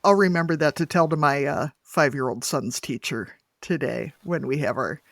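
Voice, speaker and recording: A man's voice: on the high side, normal speed, creaky, a bit bright, no room sound, clean studio sound. A man hosting a podcast interview, asking questions and joking in a playful, casual way. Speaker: podcast host.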